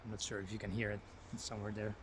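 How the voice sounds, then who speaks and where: A man's voice: fairly low pitch, level tone, very fast, noisy background. A man with a Finnish accent, a native Finnish speaker, talking casually to camera outdoors in a forest, thinking aloud and drifting off topic. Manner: rambling, thinks aloud, easily sidetracked. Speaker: language learner vlogger.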